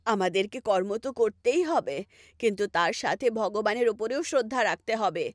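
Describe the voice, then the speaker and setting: A woman voicing a devout, gentle mother who speaks earnestly in a cartoon story. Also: medium pitch, animated, fairly quick, no echo, studio quality, natural voice. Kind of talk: animated story dubbing. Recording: loud music bed under voice.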